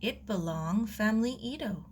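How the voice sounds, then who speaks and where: A woman voicing a gentle, proud old Japanese gardener who speaks clipped, broken English with a Japanese accent in a storybook reading. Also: mid-range voice, expressive, moderate pace, slight background noise, close to an everyday voice. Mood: gentle, instructive.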